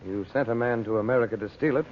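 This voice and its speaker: A man's voice: mid-range voice, slight background noise, lightly acted. Man as a composed radio hero, thin vintage sound. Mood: dry, probing. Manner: measured, dryly questioning. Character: composed, skeptical heroic magician. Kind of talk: old-time radio serial acting. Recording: thin, phone-like old radio recording.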